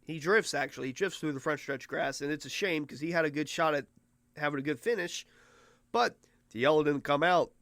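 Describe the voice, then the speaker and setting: A man hosting a racing podcast, recapping the race in a rambling, excitable casual way while his voice goes hoarse. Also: a little high, brisk, dry recording. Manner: rambling and excitable, mimics officials in mock voices.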